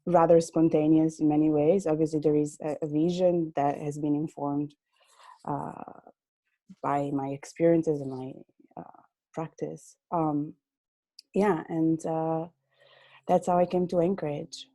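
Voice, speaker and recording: A woman's voice: low voice, little pitch movement, a little slow, dark tone, dry recording. A woman from Italy, a gallery owner and artist answering interview questions hesitantly on a video call. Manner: hesitant, many fillers.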